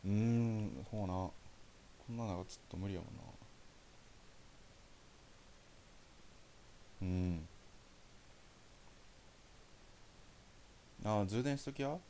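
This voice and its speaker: A man's voice: fairly low pitch, brisk, warm tone, a little hiss or hum, natural voice. A young man with a Kansai Japanese accent playing a caring boyfriend in a naturalistic phone-call audio drama, chatting casually and hesitantly. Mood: concerned, casual. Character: caring young boyfriend reassuring his anxious girlfriend.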